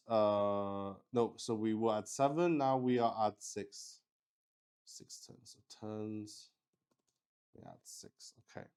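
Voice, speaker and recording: A man narrating a solo tabletop roleplaying game as he plays, thinking aloud and speaking haltingly. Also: lowish voice, darkish tone, clean tone. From Luxembourg.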